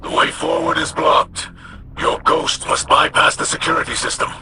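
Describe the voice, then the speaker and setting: A man's voice: fairly high pitch, level tone, normal speed, creaky, pushed and bright, slight background noise, heavily acted. A man playing a solemn, stoic alien warrior leader in a video game, speaking in formal, reverent, weighty phrases. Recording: music behind the voice.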